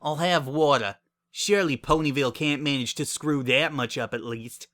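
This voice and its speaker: A man's voice: fairly high pitch, normal speed, a bit bright, natural voice. A man voicing a haughty, scornful young female pony with a pompous, sniffy manner in a dramatic story reading. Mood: snippy, demanding.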